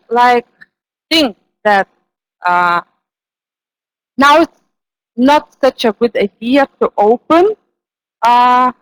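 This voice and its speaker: A Lithuanian woman with a Lithuanian accent, speaking English as a second language as a discussion moderator and searching for words in loose, halting phrases. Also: on the high side, no echo, rough recording. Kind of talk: discussion remarks after a lecture.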